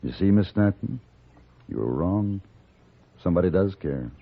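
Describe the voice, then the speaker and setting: An actor playing a steady, principled gunfighter hero in an old-time radio Western, speaking in a calm, measured way. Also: male voice, low-pitched. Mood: calm, persuasive. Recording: dull old radio recording.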